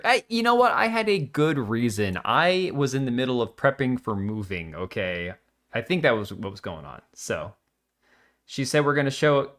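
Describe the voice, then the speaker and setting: A middle-aged man hosting a live stream, chatting casually and reacting to chat with quick jokey asides. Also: mid-range voice, fairly bright tone. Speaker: livestream host.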